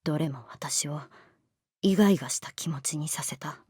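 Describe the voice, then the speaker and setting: A woman's voice: low voice, moderate pace, dark tone, slightly rough, no echo, studio quality. A woman playing a sulky, lovesick teenage schoolgirl in a Japanese voice drama, speaking bluntly and hesitantly. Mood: brooding inner monologue. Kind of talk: Japanese voice drama acting with hushed inner monologue.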